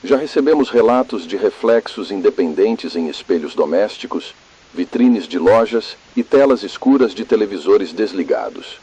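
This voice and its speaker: A Brazilian man playing a grave, calm, ominous emergency broadcast announcer in a scripted analog horror, husky-voiced, solemn, measured and formal. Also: lowish voice, rough recording. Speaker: narrator.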